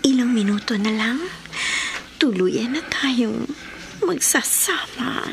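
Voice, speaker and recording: A possessive Filipino enchantress in a horror radio drama. Her voice is high, breathy and whispery, drawing her words out slowly to seduce. Noise is clear behind her. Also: lively intonation, full character voice. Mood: seductive, tender. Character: seductive, possessive female enchantress luring a married man. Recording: clear background noise bed.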